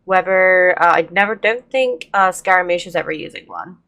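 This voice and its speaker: A woman's voice: fairly low pitch, moderate pace, a bit bright. A woman hosting a gameplay video, giving chatty, informal commentary in a casual style. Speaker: gaming channel host.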